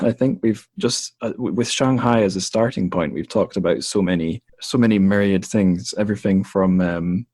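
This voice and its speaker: A man with a very low voice hosts a podcast conversation, thinking aloud and laughing at himself now and then. His voice is close and dry, with almost no room sound. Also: a little mellow, no echo. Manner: thoughtful, self-deprecating, laughs often. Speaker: podcast host. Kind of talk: casual interview conversation.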